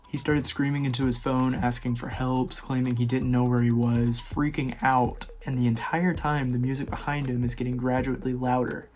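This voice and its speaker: Guy doing casual scary story narration for his channel, average pitch, talks right to viewers. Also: a little mellow. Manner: informal, addresses viewers directly. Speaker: horror story YouTuber, host.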